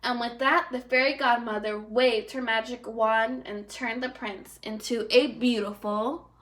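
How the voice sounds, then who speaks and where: A woman teacher reading aloud to young children, expressive and speaking to her pupils directly. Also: a little high, a little slow. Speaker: first grade teacher.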